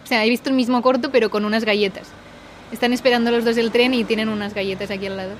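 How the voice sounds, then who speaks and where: A woman guesting on a podcast in casual chat, talking quickly in bursts and trailing off softly. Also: fairly high pitch, steady tone, slight background noise.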